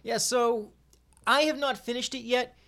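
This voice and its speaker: A man's voice: very high voice, fairly even intonation, a little slow, bright, forceful tone. A man speaking casually as a podcast guest, an academic explaining his opinions in informal chat.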